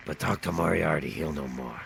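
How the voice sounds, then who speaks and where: A man voicing a timid, bitter ghoul bartender in a video game, with a gravelly rasp and a nervous, self-pitying manner. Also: rumbling low, brisk, background noise, full character voice. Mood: weary, grateful. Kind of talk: video game character dialogue.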